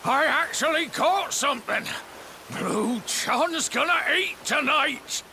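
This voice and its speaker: A man playing a hearty, kindly old fisherman in a video game cutscene, with gravelly, folksy seafarer talk. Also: extremely high pitch, lively intonation, takes it slowly, bright, forceful tone, a little hiss or hum, exaggerated voice. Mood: excited. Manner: folksy seafarer talk, refers to himself by name. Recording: game music under the voice.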